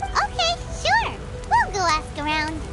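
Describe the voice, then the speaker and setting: A woman voicing a tiny, excitable floating companion in a video game, with a squeaky, bouncy and exclamatory way of talking. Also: unhurried, exaggerated voice. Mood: cheerful. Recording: loud noise and game music.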